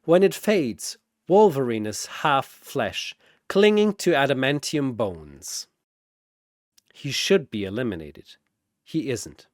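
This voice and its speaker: A man's voice: mid-range voice, very animated, slow, no room sound, clean studio sound. A man giving dramatic scripted narration of a fantasy battle, theatrical and emphatic, as narrator and announcer.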